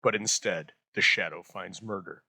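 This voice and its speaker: Male host narrator: bright, forward tone, mid pitch, literary measured script, dry close sound. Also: vocal fry, husky, no room sound. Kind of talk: scripted narration introducing vintage radio.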